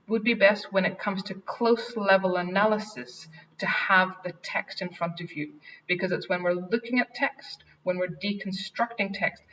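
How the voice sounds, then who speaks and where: A woman's voice: mid-range voice, fairly bright tone. A woman with an Irish accent, head of an English faculty, giving an earnest school webinar talk with frequent ums and restarts. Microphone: video call. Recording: distant, dull online call audio.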